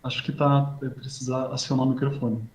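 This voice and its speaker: A man's voice: medium pitch, level tone, fairly quick, a little mellow. A man with a Brazilian accent, a professor courteously hosting and introducing an academic event in semi-formal speech. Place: indoors, online video call. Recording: roomy, echoing voice on online stream.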